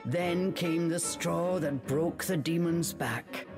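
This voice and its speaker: A woman playing a storybook narrator in a video game, measured and dramatic. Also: on the low side, long reverb tail, over music.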